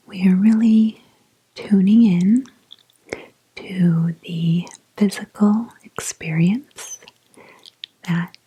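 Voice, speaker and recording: Very slow, breathy whispering woman. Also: fairly low pitch, low-quality recording. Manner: slow, gentle, deliberate pauses. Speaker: ASMR guided meditation host. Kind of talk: soft-spoken ASMR meditation guide.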